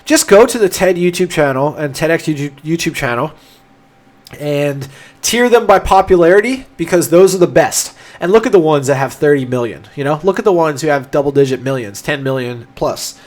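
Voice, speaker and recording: A young man talks through a live stream in an eager, explanatory way, often restarting his words. His nose is stuffed up from a cold, which makes him sound congested. His voice sits a little on the high side. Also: expressive, brisk. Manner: enthusiastic, explanatory, frequent restarts. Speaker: livestream host answering chat questions. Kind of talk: casual livestream talk.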